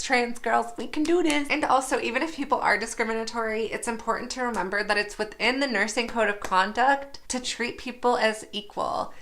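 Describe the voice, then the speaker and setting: A young woman speaking candidly to the camera in a personal vlog, her voice sitting a little high. She sounds reflective, with a faint rasp at times, and laughs at herself now and then. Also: fairly bright tone. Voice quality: slightly raspy at times. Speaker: nursing student.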